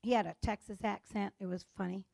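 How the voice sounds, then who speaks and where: A woman with a Chicago accent giving a humorous conference talk on gender differences, telling stories and acting out dialogue with lively delivery. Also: medium pitch, brisk, vocal fry, dry recording. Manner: lively storytelling with acted-out dialogue. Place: conference stage before an audience.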